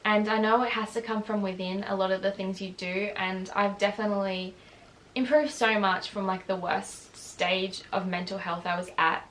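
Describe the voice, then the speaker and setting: A woman's voice: medium pitch, steady tone, fairly bright tone, a little hiss or hum, low-quality recording. Earnest young woman vlogging candidly. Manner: earnest, confessional, halting with restarts. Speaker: vlogger and runner. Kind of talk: candid personal vlog monologue.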